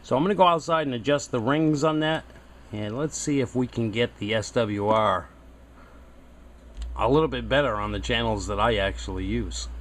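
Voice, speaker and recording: A man's voice: medium pitch, a little hiss or hum. A man giving a casual hands-on demonstration as a hobbyist host, unscripted and thinking aloud as he goes. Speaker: hobbyist video host testing radio antennas.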